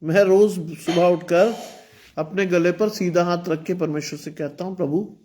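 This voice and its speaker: High-voiced preacher man with sore throat. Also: fairly even intonation, moderate pace, dark tone. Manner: earnest, exhorting, repeats phrases. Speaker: pastor. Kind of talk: online sermon.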